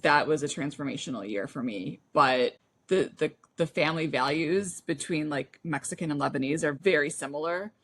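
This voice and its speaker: A woman's voice: low voice, normal speed, a bit bright. An American woman, a young adult, talking as a podcast guest in a thoughtful, hesitant way, often restarting her sentences. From United States. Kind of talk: casual podcast conversation.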